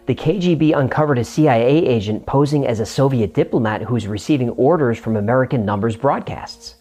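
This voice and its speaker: A man's voice: medium pitch, darkish tone. Male explainer host over faint music. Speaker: show host and narrator. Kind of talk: scripted explainer narration.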